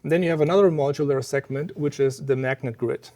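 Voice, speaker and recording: A man giving an informal technical explanation of a product as a company representative, measured and explanatory, pausing between phrases. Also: fairly high pitch, a little mellow. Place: exhibit room at an audio trade show.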